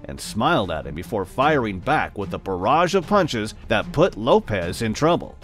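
A man narrating a boxing documentary in a measured storytelling manner. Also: on the low side, very animated, over loud music.

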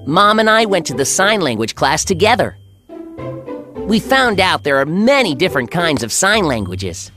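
A performer playing an earnest, friendly schoolboy who narrates a children's animated story in a measured, clearly enunciated way. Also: mid-range voice, music in the background, close to an everyday voice. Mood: earnest storytelling.

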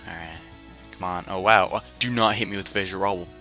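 A man's voice: low-pitched, steady tone, fairly quick, sharp tone, soft background music. A young man giving casual, rambling game commentary full of slang and jokey asides. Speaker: gaming video host. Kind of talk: casual let's play commentary.

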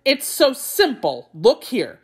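A woman's voice: on the high side, wildly swooping pitch, slow, husky, pitched above the performer's own voice, heavily acted. Woman as a blustering, impatient storybook tiger. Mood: exasperated. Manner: blustering, domineering, exasperated. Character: proud, impatient, roaring male storybook tiger. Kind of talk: storybook character voice.

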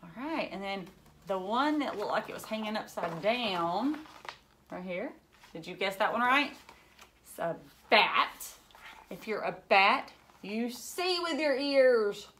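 A woman's voice: medium pitch, lively intonation, slow pace, fairly bright tone, slight background noise. A woman in her thirties reading a picture book aloud to young children, with exaggerated wonder and lots of questions. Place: indoors, furnished living room.

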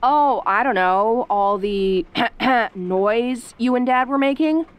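A woman playing a sassy, easily flustered young tomboy girlfriend, sarcastic and haltingly defensive, in an audio roleplay. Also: on the high side, animated, smooth. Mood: dry sarcasm.

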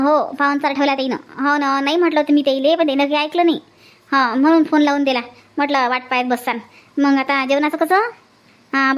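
A woman's voice: sits high, natural voice. A woman voicing a homely, practical middle-aged village housewife in a comic cartoon, chatty and fussing, with a Varhadi Marathi accent. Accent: Varhadi Marathi from Vidarbha.

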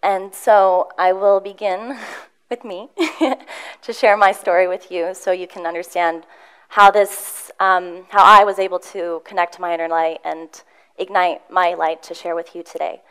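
A young woman from Switzerland tells her own story on a conference stage. She talks earnestly and with feeling, with a very smooth, clear voice, and she often pauses on ums and restarts as she gathers her thoughts. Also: fairly low pitch, steady tone, a bit bright. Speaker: motivational speaker. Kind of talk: conference talk. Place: conference room with audience.

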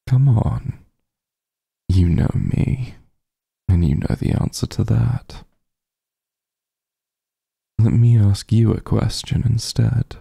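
A man playing a teasing, protective vampire mafia heir in an intimate ASMR roleplay, with a husky, gravelly, breathy voice and a sly murmur. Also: lowish voice, no echo, clean studio sound, exaggerated voice. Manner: slow, smooth-tempered, teasing drawl with soft murmurs.

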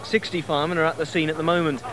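A man giving a brisk, factual news update. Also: fairly high pitch, little pitch movement, crowd noise. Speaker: news reporter. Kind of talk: scripted news report.

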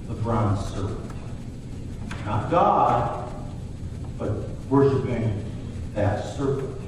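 A middle-aged man preaching a sermon in a church sanctuary, telling the story in a conversational way and voicing the characters he quotes. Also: mid-range voice. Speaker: pastor. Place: church sanctuary, large room. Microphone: far camera at back of church. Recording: distant roomy church recording with hiss.

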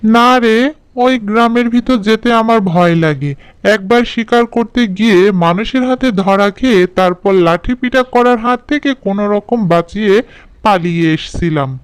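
A man plays a bullying cartoon tiger with a high male voice. He speaks slowly and smoothly, demanding and threatening, quick to anger. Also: no room sound. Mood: wary, insistent. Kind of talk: cartoon character acting.

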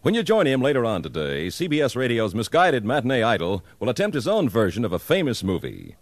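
A polished American man working as a 1950s radio announcer. His medium-pitched voice swings widely through punchy scripted promos, with a dry, close sound. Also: huge pitch swings, brisk, no echo.

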